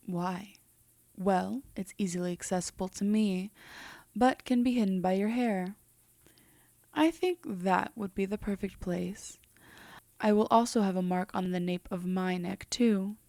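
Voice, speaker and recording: Woman doing a slow teasing demon lady for roleplay audio, mellow n haughty, kinda possessive, slips into whispers. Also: medium pitch, vocal fry, dry recording. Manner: measured, teasing, softly haughty, breaking into whispers. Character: composed, teasing female demon, part angel, coolly possessive. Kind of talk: roleplay audio drama, intimate slow delivery.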